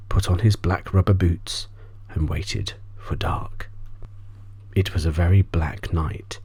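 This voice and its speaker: A man narrates a bedtime story in a very low, dark voice. His delivery is soft ASMR storytelling that often slips toward a whisper. The tone is breathy and husky, captured close and dry. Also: no echo. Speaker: audiobook reader.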